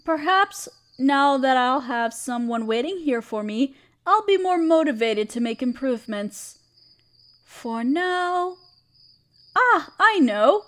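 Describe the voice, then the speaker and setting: A woman playing a gentle, protective half-spider queen in a roleplay audio drama, speaking tenderly and soothingly to the listener. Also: sits high, lively intonation, takes it slowly, heavily acted. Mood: gentle, doting. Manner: tender, soothing, hesitant and coaxing. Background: chirping crickets.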